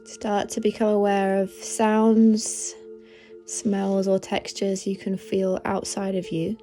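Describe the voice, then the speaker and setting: Woman, meditation guide, slow pace, soft mellow tone, gentle and soothing, music behind. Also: mid-range voice, completely flat delivery. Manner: gentle, soothing, unhurried instruction. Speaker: meditation guide, podcast host.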